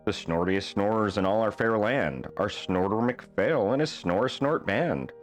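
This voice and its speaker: A man reading a rhyming children's bedtime story aloud in a playful, theatrical manner with deliberate phrasing. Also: lowish voice, soft background music. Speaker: storybook reader.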